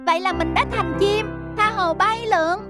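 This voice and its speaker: A performer voicing a lazy, playful young schoolboy with an exclamatory, dreamy and whiny manner in an animated fairy tale. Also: very high voice, takes it slowly, music in the background. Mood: gleeful.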